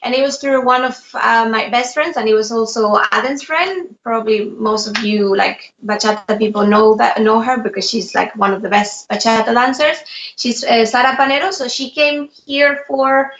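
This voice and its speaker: A woman's voice: on the high side, moderate pace, fairly bright tone. Dull roomy audio, young woman, Spanish accent, casual chat, interview guest. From Spain. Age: young adult. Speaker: interview guest, dance instructor.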